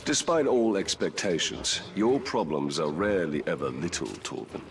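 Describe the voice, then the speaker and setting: A man's voice: low voice, expressive, moderate pace. A man playing a stern, resolute ranger captain in a video game, speaking formally and with dry wit. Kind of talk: video game character acting. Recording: distant miking, loud game ambience noise.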